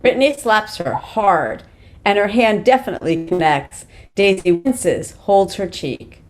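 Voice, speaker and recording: A woman's voice: mid-range voice, lively intonation, unhurried. A woman reading script directions in a plain, matter-of-fact way at a table read. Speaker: stage directions reader.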